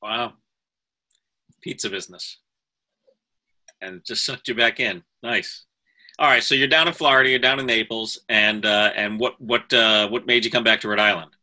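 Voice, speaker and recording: A man's voice: lowish voice, fairly even intonation, normal speed, long pauses, sharp tone. A man hosting a casual food and drink interview show, asking friendly, somewhat stumbling questions. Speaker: podcast host.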